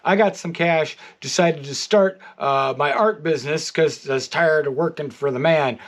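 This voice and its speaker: A middle-aged American man giving a casual, rambling video update, halting often and repeating his words. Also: fairly high pitch. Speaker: artist and toy maker. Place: art studio, indoors.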